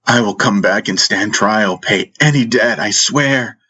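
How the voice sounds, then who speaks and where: A man's voice: on the low side, a little slow, pushed and bright, breathy edge, pitched above the performer's own voice, exaggerated voice. A man voicing an anguished young warrior who pleads desperately, in an audiobook drama over music. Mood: pleading, anguished. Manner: wailing, impassioned pleading.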